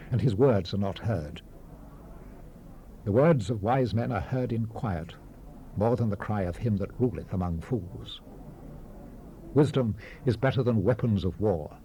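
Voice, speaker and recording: Deep, dark-voiced solemn biblical sage played by a man. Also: close to an everyday voice. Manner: measured, oratorical, emphatic rises and hushed falls. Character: grave male biblical preacher-sage, sober and world-weary. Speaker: dramatized scripture reader. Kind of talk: solemn dramatized Bible reading. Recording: hissy 1970s cassette rip, background ambience.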